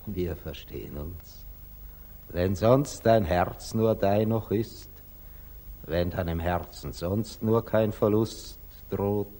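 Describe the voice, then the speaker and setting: An actor playing a wise, tender father and merchant, speaking gravely and deliberately in a 1940s German radio play. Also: low voice, mellow, exaggerated voice. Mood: tender concern. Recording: old 1940s radio drama recording.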